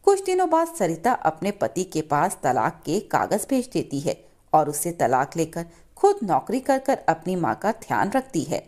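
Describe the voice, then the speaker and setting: A woman's voice: fairly low pitch, a little mellow. A woman narrating a scripted moral story as a storyteller.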